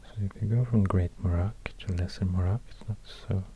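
A man with a Swedish accent giving soft, whispery ASMR narration in a hushed, gentle manner, close to a binaural mic. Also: low-pitched, fairly even intonation, a little fast, phone-quality sound, noisy background. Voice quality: whispery, breathy, unvoiced. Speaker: ASMR video host. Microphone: close binaural microphone.

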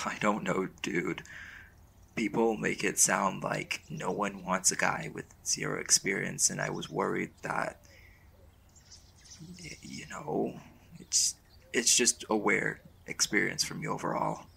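A man acting as a shy, smitten young college mage in an intimate boyfriend roleplay, speaking casually and haltingly. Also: deep voice, flat delivery, gravelly, bright, forceful tone, a little hiss or hum, heavily acted. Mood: relieved, awkward. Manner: hesitant, halting, casual slangy delivery.

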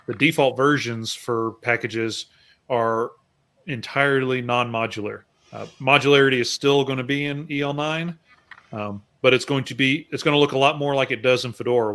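A man co-presenting over a video call, explaining technical points in a measured way and adding follow-up remarks. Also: mid-range voice, fairly bright tone.

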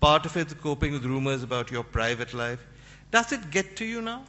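A man's voice: on the high side, lively intonation, a little fast, bright, forceful tone. A middle-aged man interviewing guests on a conference stage, probing and wry, speaking into a desk microphone. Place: large conference hall stage. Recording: long hall reverb.

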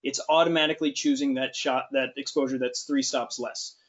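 A man in his thirties hosting a camera webinar, relaxed and joking, with many fillers. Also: medium pitch, brisk, dull audio. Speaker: webinar host. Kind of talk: webinar discussion. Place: studio with large screen.

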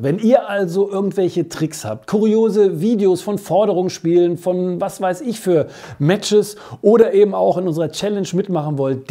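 Man, middle-aged, fairly high pitch, soft mellow tone, chatty show host, colloquial. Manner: colloquial, jokey, addresses viewers directly. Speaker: host of a weekly tennis show. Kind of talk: chatty sports web show commentary. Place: small cluttered basement studio.

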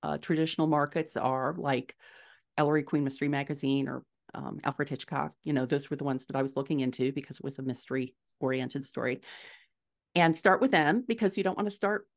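A middle-aged woman answering interview questions conversationally, telling stories in an animated way on a video call from a room at home. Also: low-pitched, brisk, vocal fry. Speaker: podcast guest, indie author. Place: small furnished room at home, indoors.

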